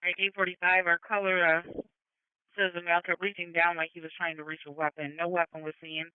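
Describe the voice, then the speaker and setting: High, clear male dispatcher on tinny radio. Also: level tone, fairly quick, no room sound. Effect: two-way radio. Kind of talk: police scanner radio dispatch. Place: radio transmission heard over a scanner. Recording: narrow tinny police scanner radio.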